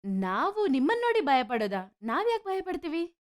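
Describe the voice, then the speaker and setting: A woman voicing a frank, warm-hearted young village daughter in a story. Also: sits high, sing-song, moderate pace. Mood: questioning. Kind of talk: animated moral story dialogue.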